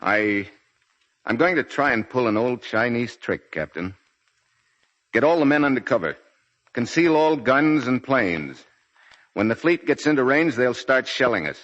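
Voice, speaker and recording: A man's voice: medium pitch, expressive, a little slow, pauses often, a bit bright. A man acting as a stoic, fatherly military commander in 1940s radio drama, grave, terse and commanding. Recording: muffled 1940s radio broadcast recording.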